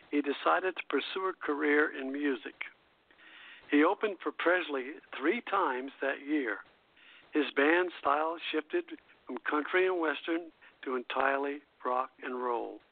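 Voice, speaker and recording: A man hosting a radio show, reading prepared history notes aloud in a halting way and stumbling over words. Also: a little high, dry recording. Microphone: phone call-in line. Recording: tinny phone line.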